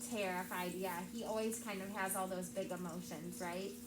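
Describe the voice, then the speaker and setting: A woman's voice: on the low side, level tone, normal speed. A woman teacher reading a chapter book aloud to her class, stopping often to ask the children questions. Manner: engaging, asks the class questions. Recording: roomy with background noise.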